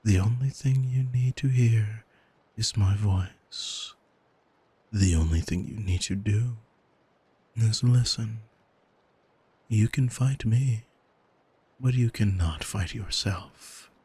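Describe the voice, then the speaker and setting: A man playing an arrogant, manipulative demon in an ASMR roleplay, coaxing in a smug, soothing, hypnotic manner with a breathy, husky voice. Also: lowish voice, mellow, no echo, full character voice. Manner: smug, deliberate, soothing, commanding. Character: arrogant male demon, soul-owning manipulator, calm and seductive.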